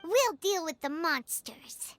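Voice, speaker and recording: Brief: tiny bubbly fairy sidekick, shrill and bouncy, slow lines, faint music under. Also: female voice, animated, a bit bright, full character voice. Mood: caring. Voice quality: very high and squeaky. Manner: bubbly, fussing over others. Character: tiny chirpy female fairy companion. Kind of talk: game voice acting.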